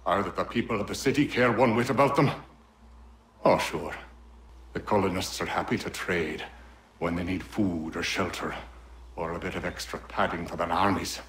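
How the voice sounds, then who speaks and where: Low-voiced scheming colonial orator. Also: male voice, moderate pace, fairly bright tone, echoey room, slight background noise. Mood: bitter, impassioned. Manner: oratorical, persuasive. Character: scheming older colonial official, smooth orator turning threatening. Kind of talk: dramatic video game acting.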